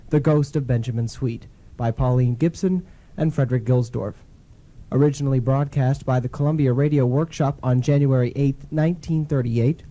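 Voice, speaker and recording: A man hosting a radio show, reading a scripted introduction in a measured, formal announcing manner. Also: medium pitch, mellow, poor audio.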